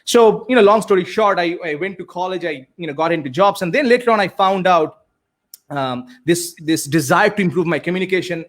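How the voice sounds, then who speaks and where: Earnest Indian man, slightly high voice. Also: a little fast, a bit bright. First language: Bengali. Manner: earnest, many fillers and repeated starts. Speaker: guest speaker and coach. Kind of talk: conversational storytelling.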